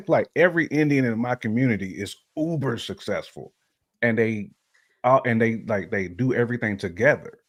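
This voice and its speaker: A man's voice: mid-range voice, expressive, normal speed. A man giving his opinions in casual conversation, emphatic and repeating phrases to drive a point home. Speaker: podcast guest panelist giving opinions.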